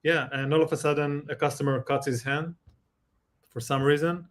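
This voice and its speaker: A man's voice: fairly high pitch, fairly even intonation. Israeli-accented man explaining insurance. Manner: measured, with many fillers and restarts. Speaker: insurance expert guest. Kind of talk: explaining in a live Q&A.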